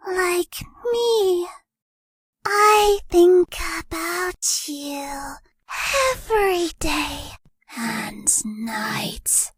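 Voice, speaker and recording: A sweet, lovestruck young ghost girl in a dating game, pitched extremely high. Her delivery is bashful and cutesy, swinging widely in melody while taking its time. The recording is close and dry. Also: dry recording, heavily acted. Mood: halting, longing. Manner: cutesy, bashful, gushing, with hesitant breathy asides. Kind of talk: dating game character voice lines.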